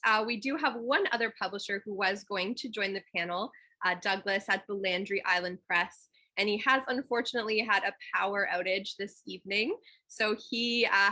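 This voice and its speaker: A woman moderating an online pitch panel, talking informally with fillers and restarts. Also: pushed and bright.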